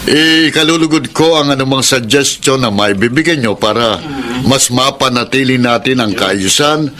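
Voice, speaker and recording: A male actor as a polite Filipino association president, officious and deliberate. His voice is mid-pitched, with a clear crowd behind it in this radio drama. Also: natural voice. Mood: courteous, official.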